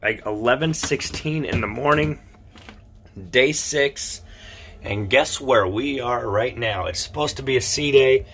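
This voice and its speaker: A man with a raspy voice chatting casually and informally to his viewers as a vlogger. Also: medium pitch. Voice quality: raspy morning voice. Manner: addresses viewers directly, informal. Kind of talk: casual vlog chat to camera. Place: aboard a cruise ship. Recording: clear background noise, ship ambience.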